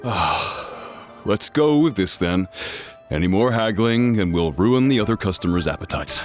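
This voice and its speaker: A man voicing a busy, genial restaurant chef in a video game, brisk and folksy. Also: on the low side, animated. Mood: good-natured giving in. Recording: faint background music.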